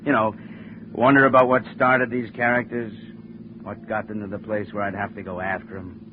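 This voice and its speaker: A man playing a jaded, hardened homicide lieutenant in a 1950s radio drama, weary and gruff in manner. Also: lowish voice, little pitch movement, moderate pace, natural voice. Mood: weary, reflective. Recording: noisy, tinny old radio recording.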